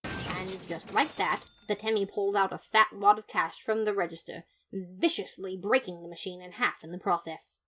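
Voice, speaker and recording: A woman playing a pompous, fastidious skeleton detective, narrating a story in the first person with theatrical, haughty disapproval. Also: mid-range voice, fairly even intonation, sharp tone, a little hiss or hum, close to an everyday voice. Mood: disapproving.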